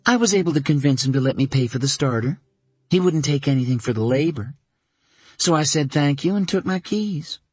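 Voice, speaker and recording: A man's voice: a little high, lively intonation, fairly quick, slightly rough, no room sound, voice pushed up. A man doing an anxious, guarded woman. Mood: uneasy. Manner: terse, uneasy, defensive. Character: anxious, guarded adult woman, flustered by romance.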